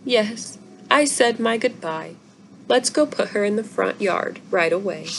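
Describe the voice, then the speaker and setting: A woman's voice: medium pitch, animated, a little slow, gravelly, a little hiss or hum. A woman voicing an earnest, emotional young girl in a storybook reading. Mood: feigned sadness. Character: young girl, tearful then excited, earnest.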